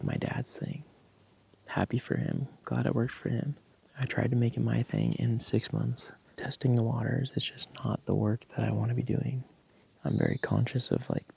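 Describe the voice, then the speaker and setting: A young man talking candidly to his camera in a loose, soft-voiced way, swearing often and laughing at himself. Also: mid-range voice, gravelly, breathy edge. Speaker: vlogger. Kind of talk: casual reflective talk to camera.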